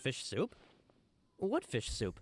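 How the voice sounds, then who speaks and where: An earnest male underling, deferential and easily flustered. His pitch moves in lively swings through emphatic game dialogue, with faint music underneath. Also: takes it slowly, husky, heavily acted. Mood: flustered, insistent. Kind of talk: video game voice acting.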